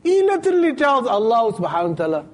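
A man's voice: very high-pitched, fast talker, slight background noise. A man giving a sermon in a mosque, telling stories casually in everyday speech. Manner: storytelling, retelling scenes in everyday speech with lively quoted dialogue. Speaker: sheikh giving a Friday talk.

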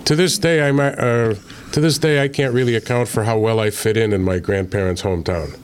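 A man from Connecticut, an author being interviewed, speaking in a measured, thoughtful way with frequent filler pauses. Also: lowish voice, steady tone, a little hiss or hum. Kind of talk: relaxed interview conversation.

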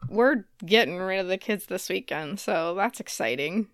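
A woman's voice: medium pitch, animated, normal speed, no room sound, clean studio sound. A woman, a podcast co-host, chatting casually and playfully and often laughing as she talks. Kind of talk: casual banter between co-hosts.

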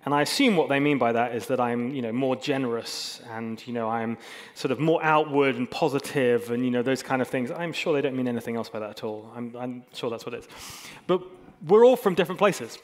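A man's voice: medium pitch, brisk. A man speaks as a pastor to his congregation. His sermon is informal and conversational, with a mid-range voice and dry asides at his own expense. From England and Hong Kong. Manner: informal, self-deprecating humour.

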